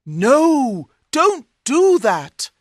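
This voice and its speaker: A woman reads as a cautious, kindly fairy-tale man. The delivery is earnest and very slow, with lively rises in pitch. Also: dry recording, clean studio sound, exaggerated voice. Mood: urgent warning. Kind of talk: storybook character voice.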